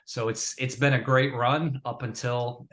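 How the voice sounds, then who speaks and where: A man's voice: medium pitch, flat delivery, unhurried, fairly bright tone. Matter-of-fact man, a film director. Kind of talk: interview answers.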